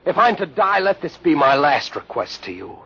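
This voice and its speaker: A man's voice: on the high side, very animated, moderate pace, a bit bright, breathy edge, heavily acted. An actor playing an earnest, intense young male heretic in 1950s radio drama style. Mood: urgent pleading. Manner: intense, pleading. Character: earnest, urgent young man, a convicted heretic. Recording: dull lo-fi old radio recording.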